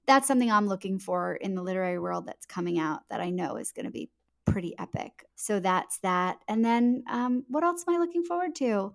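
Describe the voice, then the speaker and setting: A woman's voice: mid-range voice, creaky. A woman speaking casually as an author being interviewed on a podcast. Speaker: author guest.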